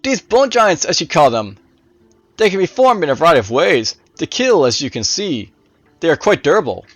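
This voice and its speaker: Guy doing a creepy raspy necromancer, high and swoopy, curious and formal, fantasy story villain. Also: very animated, fairly bright tone, no room sound, faint music behind. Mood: smug, appreciative. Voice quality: raspy, wheezy. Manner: musing, formal, drawn-out exclamations. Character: gleeful, curious, menacing undead necromancer, musing aloud.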